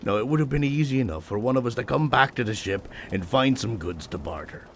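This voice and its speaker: Actor as an animated, easygoing ship's captain. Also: male voice, medium pitch, a little fast, a little hiss or hum, lightly acted. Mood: wry. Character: easygoing older male ship's captain, fond and teasing. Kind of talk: period audio drama acting.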